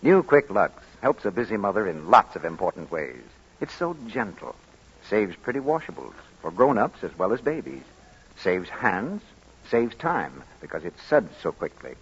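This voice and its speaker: A man's voice: lowish voice, very animated, normal speed, husky. A man announcing and reading a scripted commercial as a 1940s radio announcer. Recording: muffled 1940s radio broadcast.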